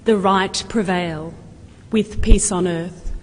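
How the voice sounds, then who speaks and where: A woman gives a solemn, expressive poetry recital with measured, deliberate phrasing, as a reader at a carol service. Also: fairly low pitch, a little hiss or hum. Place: large university hall. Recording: echoey large hall, long reverb.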